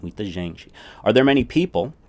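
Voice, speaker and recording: A man's voice: lowish voice, big pitch swings, a little fast, mellow. A man teaching a recorded language lesson, explaining things step by step and often checking in with "right?".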